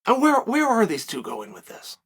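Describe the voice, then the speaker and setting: A man in his forties hosting a solo commentary show, speculating and telling stories in an animated, emphatic way from a dark studio set. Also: high voice, normal speed, slightly rough.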